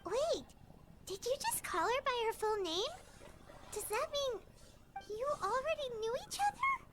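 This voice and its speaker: Squeaky, very high childlike fairy companion. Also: female voice, big pitch swings, a little slow, background noise, full character voice. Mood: surprised, questioning.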